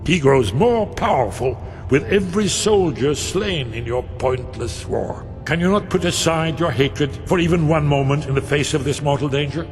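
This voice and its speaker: An actor voicing a grave, impassioned warrior in a fantasy game, warning of doom in urgent oratory. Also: male voice, on the high side, expressive, moderate pace, in a large hall, over music, natural voice. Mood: urgent, reproachful. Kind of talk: dramatic fantasy game dialogue.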